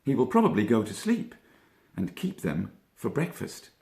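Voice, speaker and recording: A man's voice: medium pitch, huge pitch swings, normal speed, vocal fry, darkish tone, echoey room, voice pushed up. A man playing a level-headed, slightly pompous young rabbit in a children's storybook, wordy and reflective. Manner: reflective, wordy, self-important.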